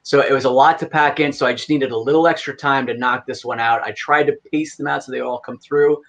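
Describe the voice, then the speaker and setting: A man's voice: medium pitch, steady tone, brisk, fairly bright tone. A man speaking as an interview guest, explaining creative work in a casual, earnest and appreciative way. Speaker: podcast guest, audio drama creator.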